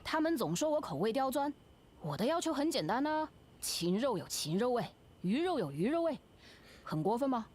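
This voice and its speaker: A woman voicing a spirited, boastful young boy escort and lion dancer in a video game, with an energetic, rhythmic delivery. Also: high-pitched, a little fast. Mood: cheeky.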